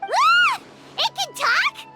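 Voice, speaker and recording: Game sidekick: tiny fairy girl, piercingly high squeak, wildly swooping, touchy and childlike. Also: wildly swooping pitch, very slow, loud music behind the voice, heavily acted. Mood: shocked, indignant. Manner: childlike, speaks of self in third person, indignant. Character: tiny excitable floating fairy companion girl, easily offended. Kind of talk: video game voice acting.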